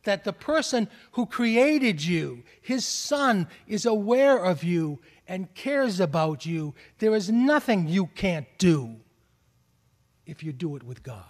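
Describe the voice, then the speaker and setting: A middle-aged man with a Boston accent, a pastor preaching a sermon from the pulpit, earnest, with rising calls and soft drops. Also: high voice, very animated, takes it slowly. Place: large church sanctuary. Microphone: stand mic at pulpit, far camera. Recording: long church reverb.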